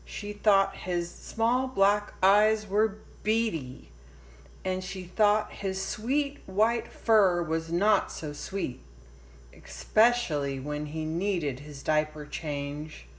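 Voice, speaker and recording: A woman reading a children's picture book aloud in a deliberate way, pausing for emphasis. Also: on the low side, rough recording. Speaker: storybook reader.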